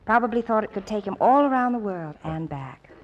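An actress playing a gentle, composed young woman with a sentimental streak in an old-time radio drama. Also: mid-range voice, expressive, brisk, muffled recording, natural voice. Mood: gentle, reserved. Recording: old radio recording, music behind.

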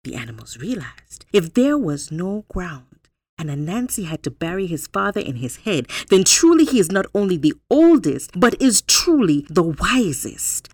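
Female folktale host: expressive intonation, slow pacing, dramatic emphasis. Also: on the low side, no echo. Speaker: storyteller, host. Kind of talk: animated folktale storytelling.

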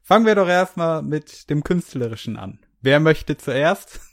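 Guy co-hosting a podcast, just chatting, voice a bit on the higher side. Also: expressive, unhurried. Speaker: podcast co-host. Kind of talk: casual chat.

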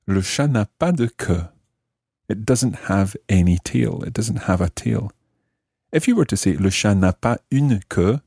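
Male teacher-host, very low and mellow, methodical explainer for a language lesson, very dry sound. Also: creaky, husky, no room sound. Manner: methodical, spells out and repeats phrases.

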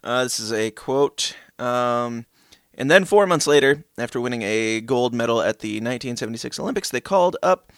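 A man's voice: mid-range voice, expressive, normal speed, a bit bright, no echo, studio quality. A man co-hosting a satirical news podcast, reading articles aloud with frequent ums, restarts and laughing asides. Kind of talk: casual satirical news chat.

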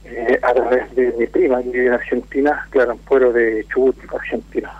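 A man's voice: medium pitch, little pitch movement, moderate pace, husky. A man from Chile, calling in to a radio show and answering questions in a friendly, plain way. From Temuco, Chile. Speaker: caller. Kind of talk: casual phone-in chat. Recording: tinny phone line.

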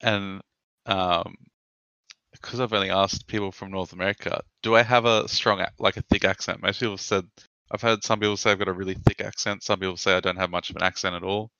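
An Australian man with a very low, flat voice that sounds bright and clear. He asks questions in a relaxed, easygoing way. The recording is dry and a little muffled. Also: a little fast, no echo. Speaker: interviewer. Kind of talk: casual interview chat.

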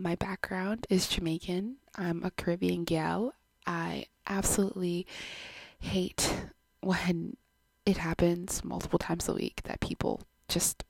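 A woman's voice: mid-range voice, vocal fry, slightly rough, no echo. A woman in her twenties from Canada, hosting her own podcast in a chatty, giggly, self-deprecating way, recorded at home on her own microphone. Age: 20s. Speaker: podcast host. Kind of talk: casual chat.

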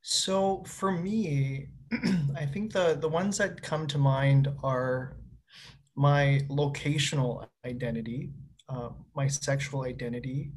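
A man's voice: medium pitch, slow pace, darkish tone. A young man from Winnipeg co-hosting a casual conversation, upbeat and encouraging. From Winnipeg, Canada. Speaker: co-host. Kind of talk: casual conversation over video call.

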